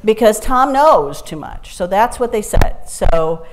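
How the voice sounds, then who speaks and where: A woman's voice: medium pitch, expressive, a little slow, background noise. A woman school superintendent introducing speakers at a public meeting in a chatty, informal, anecdotal way. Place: city meeting room.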